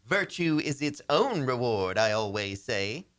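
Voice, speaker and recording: A man acts out a pompous old-fashioned boss for a radio comedy. His delivery is stuffy and sententious, swinging animatedly up and down at a medium pitch. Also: sing-song, a little slow, fairly bright tone. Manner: pompous, proverb-reciting. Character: stuffy, pompous male boss who spouts proverbs.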